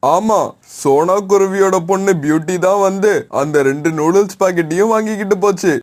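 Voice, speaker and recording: A man's voice: high voice, brisk, close to an everyday voice. A man voicing a mild, earnest adult male pigeon shopkeeper in a children's cartoon. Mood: earnest, concerned.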